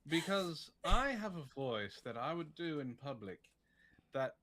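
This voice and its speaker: A man's voice: on the high side, big pitch swings, unhurried, fairly bright tone. A man co-hosting a live stream, dryly teasing and putting on mock menace. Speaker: stream co-host. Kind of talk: playful banter on a live stream.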